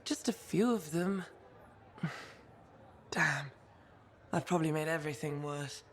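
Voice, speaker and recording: A woman's voice: low-pitched, slow pace. A woman playing a cocky, reckless troublemaker in a video game, talking casually and cheekily. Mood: sheepish, playful. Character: reckless cocky brawler freelancer, easygoing troublemaker. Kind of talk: video game cutscene acting.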